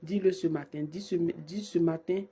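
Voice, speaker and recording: A woman with a cold leads a streamed morning prayer, her voice hoarse and husky. She keeps a dark, mellow tone and a flat, level delivery. She builds fervent, repeated invocations. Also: on the low side, normal speed. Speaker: prayer leader.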